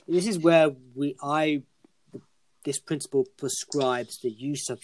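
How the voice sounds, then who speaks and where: A man's voice: medium pitch, a little mellow, slight background noise, low-quality recording. A man co-hosting a podcast discussion, speaking in formal, elaborate sentences with frequent restarts, losing his voice. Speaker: podcast co-host.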